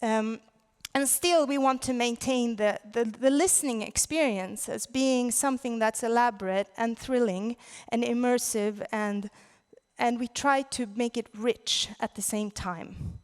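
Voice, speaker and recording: A woman with a Swedish accent presenting as a conference speaker, in a halting way, searching for words. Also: on the high side, darkish tone, smooth. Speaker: conference speaker, audio drama producer. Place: large indoor conference venue. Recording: reverberant conference hall.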